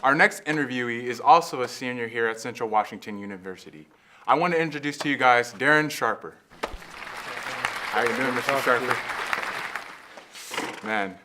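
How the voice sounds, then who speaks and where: A man's voice: medium pitch, moderate pace, pauses often, over music, a crowd in the background. A young man hosting a student interview, asking questions and cutting in with short, upbeat replies. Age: young adult.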